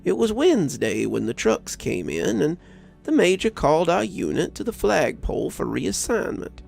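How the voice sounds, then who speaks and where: A man voicing a folksy elderly grandfather, a war veteran telling stories of his youth in an unhurried, gravelly voice. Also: high voice, very animated, a little mellow, soft background music, voice pushed up, exaggerated voice. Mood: calm, reminiscing. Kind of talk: first-person storytelling.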